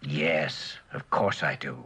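Deep-voiced, weary, work-obsessed husband. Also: male voice, slow, creaky, bright, forceful tone, full character voice. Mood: apologetic, evasive. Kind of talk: mid-century American television drama acting. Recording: noisy old television drama soundtrack.

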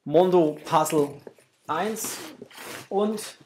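A man's voice: on the high side, extremely slow, a little mellow, slight background noise. A middle-aged man hosting a toy unboxing, chatting casually and enthusiastically to his viewers in a gushing way. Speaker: host of a toy collecting channel. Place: small home room indoors.

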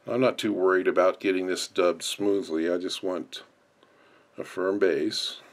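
A man's voice: sits low, fairly even intonation, unhurried. A man instructing in an unscripted, step-by-step way as he explains hands-on work. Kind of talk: hands-on tutorial narration.

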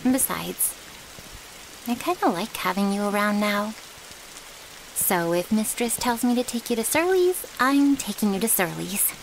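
Woman playing a creaky, unhurried vampire's thrall. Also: mid-range voice, expressive, rough recording. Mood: teasing, smug. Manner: casual, teasing, sighing. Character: cheeky, flirty, loyal female thrall of a vampire. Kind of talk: intimate ASMR audio roleplay. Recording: hissy, rain-like background noise.

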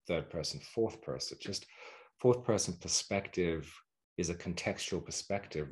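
A middle-aged man on a video call, explaining reflectively as a guest teacher and often pausing to search for words. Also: low voice. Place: carpeted room at home. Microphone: video call, sitting back from the computer.

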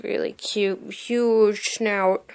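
A woman's voice: mid-range voice, steady tone, drawn-out words, gravelly, darkish tone, smooth. A young woman showing off a costume for sale in her bedroom, talking casually and gushing with lots of "like" and "really, really". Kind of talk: casual show-and-tell vlog. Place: bedroom, indoors.

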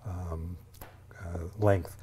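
Older man with a low, mellow voice. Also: fairly even intonation, drawn-out words, breathy edge. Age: 60s. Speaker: ski patrol leader, interview guest. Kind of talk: explanatory TV interview talk. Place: TV studio, indoors. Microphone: lapel mic.

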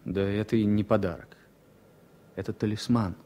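An actor playing a fussy, superstitious former pilot as a passenger, hesitant yet insistent, in a 1970s Soviet radio play. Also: male voice, on the low side, fairly even intonation, moderate pace, warm tone, lightly acted. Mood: insistent, wistful. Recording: faintly noisy vintage radio recording.

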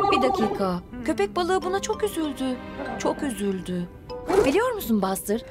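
A woman narrating a children's cartoon expressively, exclaiming and speaking directly to the characters and young viewers. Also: high voice. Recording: loud music behind the voice. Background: loud music.

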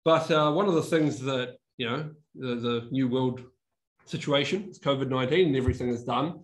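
A young man from New Zealand, his accent softened by years in Australia, giving a chatty online conference talk over a video call from a room indoors. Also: mid-range voice, moderate pace. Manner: casual, chatty, restarts words often, laughs at himself. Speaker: conference speaker. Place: room with a whiteboard and brick wall, indoors. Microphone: video call webcam.

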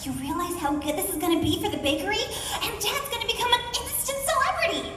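A woman voicing a peppy, reassuring, excitable teenage girl at a cartoon table read, chirpy in manner. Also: very high-pitched, fast talker, heavily acted. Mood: eager, reassuring. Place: convention panel hall, recorded from audience. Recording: echoey hall from far off, faint noise.

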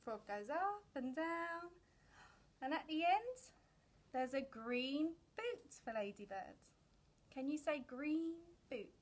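A woman's voice: sits high, lively intonation, slow pace. A young woman reading a picture book aloud to small children in a sing-song manner, as a storyteller. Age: young adult. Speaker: children's storyteller at a family centre. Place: indoors, decorated story corner.

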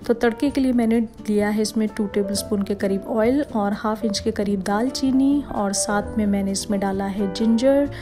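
A young woman with a Rajasthani accent, narrating a cooking vlog and explaining each step calmly. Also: mid-range voice. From India. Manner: steady explanatory, step-by-step instructions. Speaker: vlogger.

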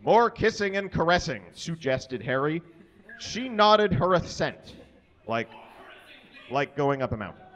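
A man in his thirties giving a comic live reading of fan fiction on a small stage, deliberately tripping over the mistakes in the text. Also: a little high, lively intonation, a bit bright. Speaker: live reader. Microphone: stand microphone on stage, filmed from a distance. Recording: dull, muffled live stage recording.

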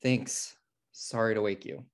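A man's voice: on the low side, fairly even intonation, unhurried, lightly acted. Uptight, exasperated American schoolteacher played by a man. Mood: polite. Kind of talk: comedy table read. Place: indoor room, video call.

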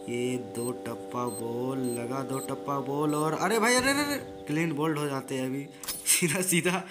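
A hoarse young man gives rowdy, joking, trash-talking commentary over his own gameplay, recorded without a proper mic. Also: mid-range voice. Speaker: gaming YouTuber. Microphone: built-in device mic, no external mic.

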